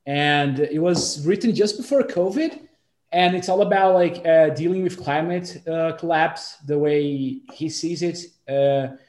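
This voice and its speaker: A man with a Brazilian accent speaks in a casual group discussion, stopping and restarting often and filling gaps with "uh" and "like". His voice sits a little high for a man. The room around him is echoey. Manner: halting, many fillers and restarts. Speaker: discussion participant.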